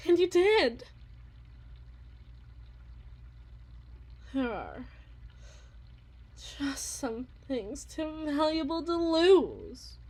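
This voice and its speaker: A creepy obsessive wife, high breathy voice, talks real slow and halting, sweet but menacing, asmr drama. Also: female voice, heavily acted. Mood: feverish, insistent. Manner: theatrical, halting, drawn-out repetitions, swinging from hushed to intense. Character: unhinged, obsessive yandere wife, eerily tender and menacing. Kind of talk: ASMR roleplay, dramatic monologue.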